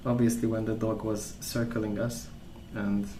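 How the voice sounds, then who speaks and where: A man speaking softly in a calm, gentle, unhurried way, rambling in a casual ASMR storytelling style. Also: fairly low pitch. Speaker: ASMR video creator. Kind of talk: soft-spoken ASMR rambling. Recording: roomy sound with clear background noise.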